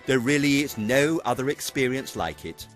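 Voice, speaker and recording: A man's voice: medium pitch, expressive, normal speed, fairly bright tone, soft background music. A middle-aged British man giving a scripted, reflective narration as a veteran stage performer. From United Kingdom. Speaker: veteran pantomime performer and presenter.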